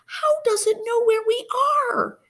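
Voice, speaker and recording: A woman voicing a timid, frightened young girl who whispers and pleads in a breathy, raised voice. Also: very high voice, measured pace, a bit bright, voice pushed up, full character voice.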